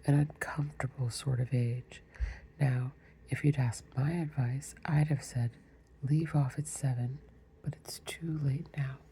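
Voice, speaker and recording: A woman's voice: very deep voice, steady tone, unhurried, mellow, slight background noise, low-quality recording. A woman whispering as Humpty Dumpty, pompous egg. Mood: haughty. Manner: proud, argumentative. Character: pompous touchy egg man on a wall. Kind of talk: whispered storybook reading.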